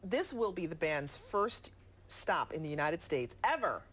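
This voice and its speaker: A woman's voice: sits low, big pitch swings, unhurried, a bit bright, breathy edge. A woman hosting a TV show, chatting casually and expressively in a studio interview. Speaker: television morning show host. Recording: music playing behind.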